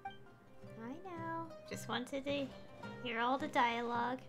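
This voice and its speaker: A woman streamer making quiet, offhand remarks while playing a game. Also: sits high, slow pace, music in the background. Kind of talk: casual gameplay commentary.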